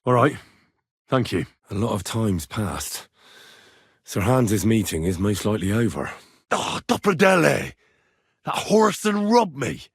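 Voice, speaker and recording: A young medieval Bohemian adventurer in a video game, earnest but quick to lose his temper. His husky, mid-pitched voice moves in animated leaps and dips. The delivery is blunt and expressive, captured close and dry. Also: dry recording, full character voice. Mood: matter-of-fact, then calm, reflective, then urgent, commanding. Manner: expressive, blunt, frequent swearing. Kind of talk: video game dialogue voice lines.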